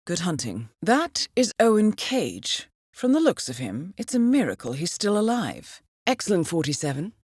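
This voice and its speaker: A composed female intelligence handler in a spy video game, speaking on the lower side in a close, dry recording. Her delivery is formal and clipped, with expressive, swooping intonation and a wry edge as she guides an assassin. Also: normal speed, few pauses, slightly rough, no room sound. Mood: dryly wry. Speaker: mission handler. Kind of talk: scripted video game briefing voice acting.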